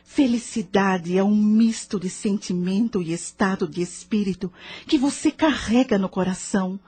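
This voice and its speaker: An actress with a Brazilian accent playing a patient, wise spiritual counselor who speaks in a measured, didactic, questioning way in an audio novel. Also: female voice, mid-range voice, mellow, husky, natural voice. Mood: gently firm. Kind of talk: dramatized audio novel dialogue.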